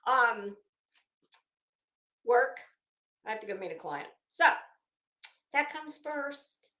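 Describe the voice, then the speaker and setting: A woman hosting a livestream, rambling casually in an animated, theatrical, self-mocking way. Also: a little high, takes it slowly. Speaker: livestream host. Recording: echoey, roomy sound.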